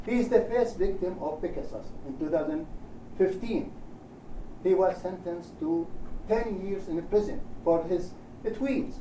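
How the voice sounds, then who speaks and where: A man with a high, mellow voice speaks in Iraqi-accented, non-native English. He delivers a formal prepared speech in deliberate short chunks. The sound is roomy and echoing, picked up at some distance from the mic. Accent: Iraqi Arabic. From Iraq. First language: Arabic. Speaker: human rights organisation director and panel speaker. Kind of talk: formal speech read from notes. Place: indoor event room at a panel discussion. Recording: roomy echo, distant mic, faint noise.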